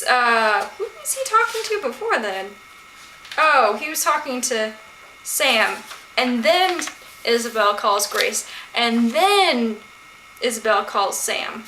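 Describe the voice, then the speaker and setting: Bright, high-voiced woman chatting in echoey room. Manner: chatty, slangy, animated asides and questions to viewers. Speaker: book vlogger. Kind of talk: casual book discussion to camera. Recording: echoey room with faint noise.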